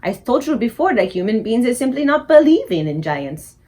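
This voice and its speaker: A woman voicing a big, gentle old male giant who mangles his grammar and invents words. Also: on the high side, very animated, normal speed. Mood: dismissive. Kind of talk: audiobook character reading.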